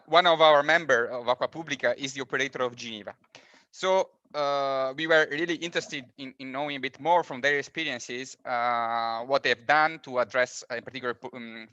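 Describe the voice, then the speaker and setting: A man whose first language is not English, speaking on a webinar panel with halting, repetitive phrasing. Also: medium pitch, pushed and bright, dry recording, dull audio. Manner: halting, repeats words, non-native phrasing. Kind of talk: online panel discussion. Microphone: video call.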